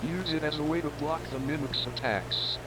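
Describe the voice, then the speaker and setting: A man's voice: fairly high pitch, very animated, normal speed. Male instructional narrator, very noisy recording. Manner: formal, explanatory. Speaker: narrator of horror creature guide. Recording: very loud background noise.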